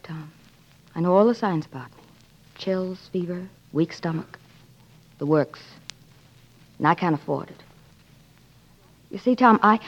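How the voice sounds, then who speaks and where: An actress playing an ambitious, romantic newspaperwoman, earnest and witty, in 1940s radio drama style. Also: lowish voice. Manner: earnest, dramatic, clipped wit. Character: ambitious, romantic American newspaperwoman torn by love. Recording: muffled 1940s radio recording.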